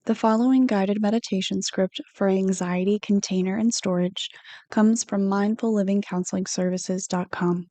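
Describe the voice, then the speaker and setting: Soft mellow lady reading a guided meditation, slow n soothing, gentle instructions, pretty flat pitch. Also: no echo. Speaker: counselor hosting guided meditations. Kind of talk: guided meditation, read from a script.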